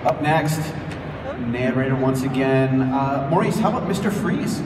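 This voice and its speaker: A man's voice: medium pitch, moderate pace, far from the mic. A man hosting a live panel, announcing casually to performers and audience. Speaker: host assigning voices to actors. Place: fan convention hall. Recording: echoey hall, loud crowd and noise.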